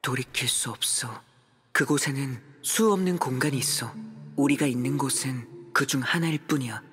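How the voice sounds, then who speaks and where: Young male traveler for a fantasy game: medium pitch, earnest, grave, reflective, clear music behind. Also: moderate pace, fairly bright tone. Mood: ominous, grave. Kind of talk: Korean game voice acting.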